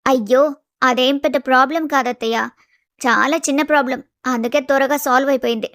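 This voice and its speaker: A woman's voice: high-pitched, fairly even intonation, a little fast, fairly bright tone, no room sound, clean studio sound. A woman voicing a confident, respectful young engineer daughter in a family story. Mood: modest.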